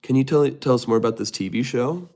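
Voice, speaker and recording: A man's voice: medium pitch, fast talker, noisy background. A man hosting a casual livestream, reading viewer comments aloud and answering them in a rambling way with many restarts. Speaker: livestream host reading chat.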